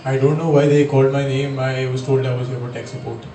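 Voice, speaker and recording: A man from India with an urban American accent performing stand-up comedy, slangy and profane, with theatrical pauses. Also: medium pitch, level tone. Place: live comedy club stage. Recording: roomy club, distant mic, loud noise.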